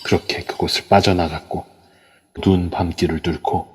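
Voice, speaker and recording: A man narrating an audio drama in a measured storytelling manner. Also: deep voice, little pitch movement, in a large hall, a little hiss or hum. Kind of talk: scripted narration. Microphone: phone app mic.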